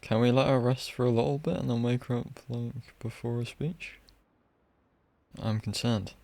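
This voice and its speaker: A man casually commentating on a live game stream in a low-key, musing way, sometimes reading dialogue aloud. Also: steady tone, moderate pace, creaky, no echo. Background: soft game music.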